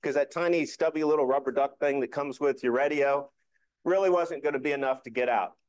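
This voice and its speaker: A man's voice: medium pitch, fairly quick. A man with a mid-range voice gives an informal presentation. He explains practical details in a folksy, joking manner, and the sound is fairly dry with little room echo. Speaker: amateur radio club presenter. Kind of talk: informal slide talk.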